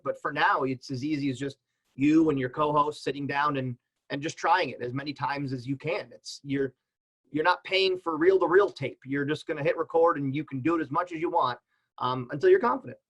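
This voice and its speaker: A man with a somewhat high-pitched voice speaks as a panelist. He gives advice casually and conversationally, with an encouraging, informal manner. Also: brisk, fairly bright tone, slightly rough, low-quality recording.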